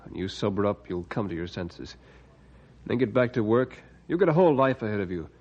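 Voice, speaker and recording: An earnest friend in an old-time radio play. His low voice moves expressively up and down. The sound is dull and narrow. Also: lively intonation, brisk, darkish tone. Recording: muffled, band-limited vintage radio recording.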